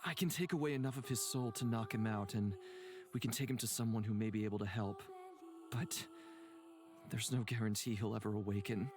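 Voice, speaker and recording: A man playing a solemn, compassionate wielder of soul magic in a visual novel, speaking in a grave, measured, dramatic way. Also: on the low side, close to an everyday voice. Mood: grave, apologetic. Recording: music behind the voice.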